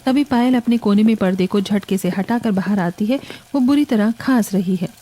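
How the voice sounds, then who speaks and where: A woman with an Indian accent reads scripted narration for an animated family drama. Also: medium pitch, normal speed. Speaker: story narrator.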